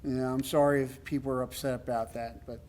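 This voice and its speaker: A man with a loud voice giving a rambling, emphatic public comment at a town meeting, with many fillers. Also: mid-range voice, level tone, fast, slight background noise. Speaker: resident speaking at public comment.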